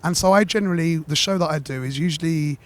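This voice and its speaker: A male radio host with a slight lisp, chatting casually and upbeat in a studio interview. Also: on the high side. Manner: chatty, upbeat, quick-talking.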